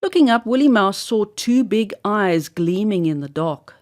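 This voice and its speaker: A woman narrating a children's story aloud in an expressive, deliberate storybook style. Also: mid-range voice.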